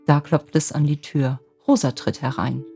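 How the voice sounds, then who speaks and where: A woman with a gentle voice narrating a scripted bedtime fairy tale as a storyteller. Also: deep voice, steady tone, moderate pace, creaky. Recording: music bed under the voice.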